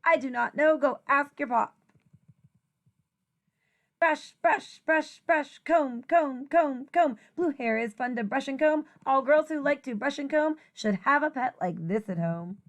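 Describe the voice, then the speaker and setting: A woman teacher reading a rhyming children's picture book aloud in an expressive, sing-song way. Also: high-pitched, a little slow, hardly any pauses.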